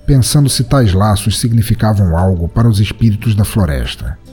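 A man's voice: on the low side, expressive, fairly quick, mellow, faint music behind. A Brazilian man narrating a scripted fantasy story in a dramatic storytelling style. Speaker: narrator of a fiction podcast.

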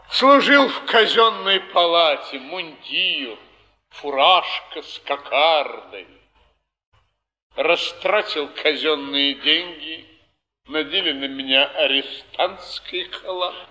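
An actor playing a ruined, timid, bewildered nobleman, halting and plaintive, in a theatrical Russian stage style. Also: fairly high pitch, pushed and bright, lo-fi sound, heavily acted.

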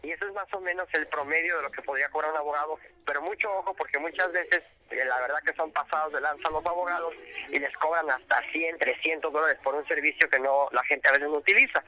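A man's voice: fairly high pitch, fairly even intonation, bright, forceful tone, rough recording. A man answering radio interview questions about immigrant detention, explaining step by step with frequent fillers and restarts. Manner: explanatory, halting with many fillers. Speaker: immigration rights guest.

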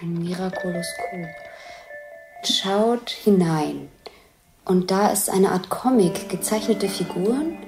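Curious lady describing a scene bit by bit, kinda low voice, savours it, music under her, radio play. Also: slow pace. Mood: hushed, curious. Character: curious woman describing a film scene aloud, bemused. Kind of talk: audio drama, scene commentary. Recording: music behind the voice.

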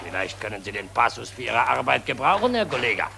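An actor playing a self-important patriotic journalist, declaiming in lofty, high-flown phrases in a 1940s radio play. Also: male voice, sing-song, a little fast, vocal fry. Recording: old radio recording with music behind.

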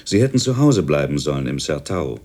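An actor playing a brusque, condescending company boss in a radio drama, speaking pointedly and belittlingly. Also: male voice, rumbling low, sing-song, brisk, warm tone. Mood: scornful, lecturing.

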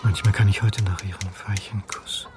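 A man's voice: lowish voice, steady tone, moderate pace, loud music behind the voice, close to an everyday voice. An actor playing a wistful, tender man remembering his lost love in an intimate radio drama monologue. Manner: intimate, reminiscing.

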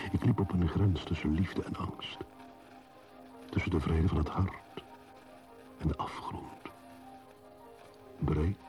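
An actor playing a solitary, brooding male wanderer who narrates in a solemn, measured, poetic radio play style. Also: extremely low pitch.

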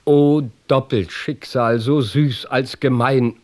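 An actor as a slow, fervent, pious knight. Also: male voice, medium pitch, fairly even intonation, no room sound, close to an everyday voice. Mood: anguished joy. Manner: declamatory, solemn, fervent. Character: pious, lovestruck, conscience-torn knight count. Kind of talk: rhymed verse radio drama acting.

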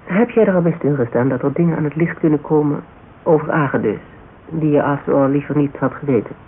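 Sceptical female detective, thin radio sound. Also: sits low. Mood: cautious. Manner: measured, probing, sceptical. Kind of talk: Dutch radio drama acting, conversational. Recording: narrow, faintly noisy old radio broadcast.

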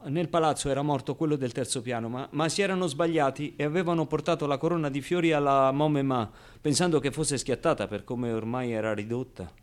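An actor playing a streetwise, wistful orphan boy in a halting, emotional theatrical monologue. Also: medium pitch, natural voice. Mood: tender. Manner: halting, emotional, swinging from outbursts to hushed confiding. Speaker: stage actor.

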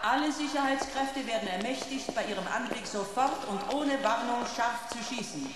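An actress playing a cold, villainous director making a stern, formal announcement over a loudspeaker in a radio drama. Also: female voice, moderate pace, very noisy. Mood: stern, commanding. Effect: public address loudspeaker with long reverb. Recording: booming reverberant announcement system.

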